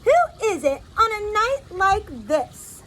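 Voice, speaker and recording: A woman's voice: high-pitched, lively intonation, unhurried, sharp tone, slight background noise, heavily acted, poor audio. A woman voicing a ninety-year-old great-grandmother who is young at heart, in a storybook reading. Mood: startled.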